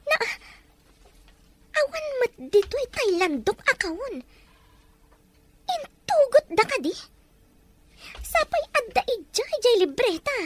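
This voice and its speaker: Woman acting a very high-pitched scheming wife. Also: sing-song, takes it slowly, pauses often, a little hiss or hum, full character voice. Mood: greedy, scheming. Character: scheming, grasping wife, besotted with her lover. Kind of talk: Ilocano audio drama acting.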